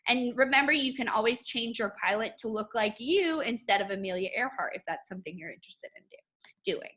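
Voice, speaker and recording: A woman teacher reading a picture book aloud to children in an expressive, instructive way. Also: a little high, a little fast. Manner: expressive, instructive, addressing young students. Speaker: art teacher.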